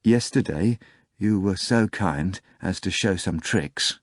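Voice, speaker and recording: A man's voice: low-pitched, slow. A man voicing a timid, flustered middle-aged bartender in an audiobook reading. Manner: flustered, hesitant.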